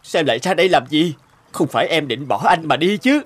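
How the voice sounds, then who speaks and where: An actor playing a gentle, worried young husband in a cartoon drama, speaking in an earnest, caring, pleading manner. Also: male voice, on the high side, expressive, brisk, dry recording. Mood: alarmed pleading. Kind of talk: dubbed cartoon drama acting. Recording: studio voice under loud music.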